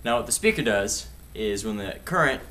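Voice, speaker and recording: A young man with a slightly low voice gives an explanatory presentation. He is recorded at a distance in an echoey room, with faint noise behind him. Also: expressive, normal speed, bright, forceful tone. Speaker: student presenter.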